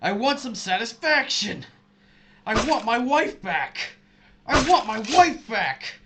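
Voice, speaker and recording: A man's voice: extremely high pitch, big pitch swings, takes it slowly, background noise, full character voice. An actor playing a bitter, vengeful missile officer betrayed by his wife, intense and accusatory, in an audio drama. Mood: anguished rage.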